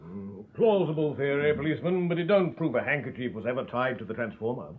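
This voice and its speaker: A man's voice: medium pitch, lively intonation, quick pace, phone-quality sound, lightly acted. An actor playing a proud middle-aged Victorian doctor and father in a British radio drama, clipped, haughty and English-accented. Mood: indignant, dismissive.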